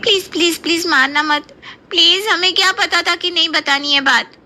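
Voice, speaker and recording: A woman's voice: very high voice, normal speed, sharp tone, a little hiss or hum, exaggerated voice. A woman playing a gossipy, mischievous young daughter in a cartoon story, chatty, insistent and needling. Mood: pleading. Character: gossipy, mischievous young daughter and sister-in-law, blurting everything. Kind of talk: Hindi cartoon story voice acting.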